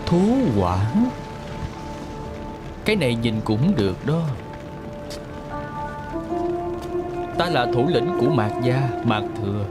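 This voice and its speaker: A man's voice: mid-range voice, close to an everyday voice. A man playing an arrogant, greedy, scheming clan leader in a fantasy drama dub, with a theatrical, sneering delivery. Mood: taunting, menacing. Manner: theatrical, menacing, sneering, gloating. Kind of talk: Vietnamese-style fantasy drama dubbing. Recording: voice over background music.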